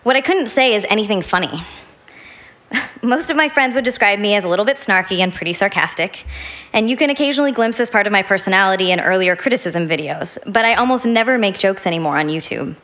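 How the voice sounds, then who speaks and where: Measured woman giving a prepared talk. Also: mid-range voice, lively intonation, a bit bright. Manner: measured and deliberate. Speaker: speaker in a played video.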